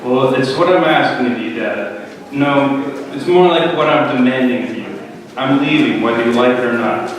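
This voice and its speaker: A man's voice: medium pitch, normal speed, natural voice. Man playing a brash rebellious son, very echoey hall. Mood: demanding. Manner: brash, sarcastic, dismissive. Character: impatient, insolent, rebellious younger son. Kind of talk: dramatic reading of a parable. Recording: echoey hall, distant mic, faint noise.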